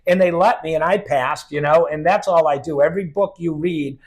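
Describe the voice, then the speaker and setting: A man's voice: fairly high pitch, brisk. A middle-aged man with a slight New England accent, talking casually and with opinions as a guest in an interview, wandering into asides. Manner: chatty, opinionated, rambling with asides. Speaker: financial advisor guest. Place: Sacramento-area.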